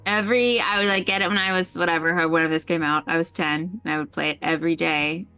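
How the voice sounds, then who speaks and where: A woman's voice: on the low side, steady tone, fast, pushed and bright, smooth, faint music behind. A woman chatting casually and playfully as she streams a game, with a teasing manner. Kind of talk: casual livestream chat.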